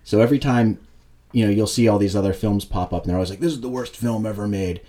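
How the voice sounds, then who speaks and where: A man co-hosting a podcast, chatting casually and telling jokey, animated stories. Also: fairly low pitch, fast talker. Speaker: podcast co-host. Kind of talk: casual chat.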